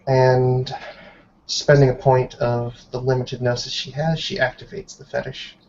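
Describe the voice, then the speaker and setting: A man runs a tabletop roleplay game as its narrator. He speaks slowly and deliberately with little pitch movement and long pauses. The sound is slightly dull, like an online call. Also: little pitch movement, a little mellow, slight background noise. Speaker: game master. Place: online group video call.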